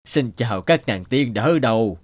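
A man voicing a gentle old guardian spirit. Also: mid-range voice, lively intonation, normal speed, no room sound, close to an everyday voice. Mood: cheerful. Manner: gentle, patient, fatherly. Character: kindly old male family guardian spirit. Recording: studio voice-over with loud music.

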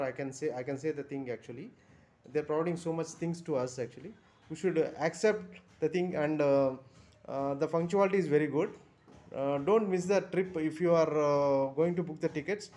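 A man with an Indian English accent talks through a casual review on a phone camera, pausing often with fillers and restarts. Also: fairly high pitch, darkish tone. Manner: halting, many fillers and restarts. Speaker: vlogger reviewing a bus trip. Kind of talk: casual product review vlog. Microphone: phone camera held over items.

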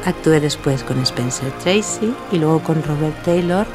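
An actress playing a self-assured, witty 1940s film star in a scripted audio drama, poised and seductive. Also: female voice, low-pitched, expressive, measured pace, music in the background. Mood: reminiscing, proud. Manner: poised, confident, seductive storytelling.

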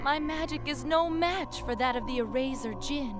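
A woman voicing an earnest, gentle young female genie in a video game, polite and formal. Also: high-pitched, moderate pace, lightly acted. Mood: solemn. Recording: music behind the voice.

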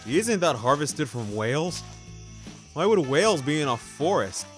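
An actor playing a wry, flippant man in an audio drama, bantering with deadpan sarcasm. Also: fairly high pitch, unhurried. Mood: puzzled. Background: music.

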